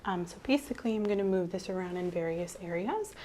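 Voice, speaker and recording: A woman speaking softly as the examiner in an ASMR medical role-play, gently explaining each step in a reassuring way. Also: on the low side, lively intonation, quick pace, slight background noise. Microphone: clip-on mic.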